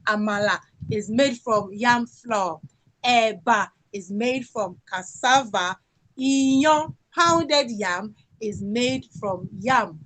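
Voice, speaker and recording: A Nigerian woman with a Yoruba accent, presenting her country's culture and songs in an unhurried talk full of fillers. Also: on the high side, expressive, poor audio. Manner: sings folk songs, speaks slowly and warmly with fillers.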